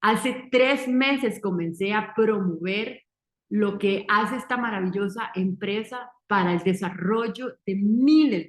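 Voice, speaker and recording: A Costa Rican woman in her forties with a Costa Rican accent, giving an earnest success-story testimonial in short, deliberate, emphatic phrases. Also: mid-range voice. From Costa Rica. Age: 40s. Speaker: marketing entrepreneur giving a testimonial. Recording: roomy echo.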